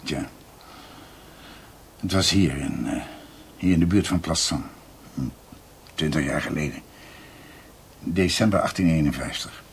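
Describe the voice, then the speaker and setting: An actor playing an older, bookish doctor who tells family history in a halting, musing way, in radio drama style. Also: male voice, extremely low pitch, vocal fry, slight background noise, exaggerated voice. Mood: musing, narrating. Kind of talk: radio drama acting, storytelling.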